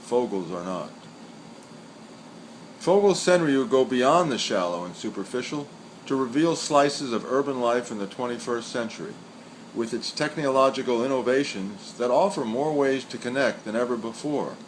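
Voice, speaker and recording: A man's voice: mid-range voice, rough recording. Man reading poetry over background hum. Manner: deliberate, measured recitation with repeated verses. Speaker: poet reading aloud. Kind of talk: scripted literary reading, reciting short poems and commentary. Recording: steady background hiss or hum.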